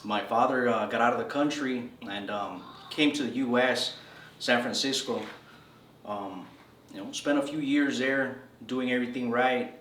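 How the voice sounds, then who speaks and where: A man's voice: medium pitch, moderate pace, a bit bright, slight background noise. Laid-back man telling stories, echoey and distant. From El Salvador. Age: 30s. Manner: laid-back slangy storytelling, says "bro" a lot. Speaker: interviewee. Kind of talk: casual storytelling interview.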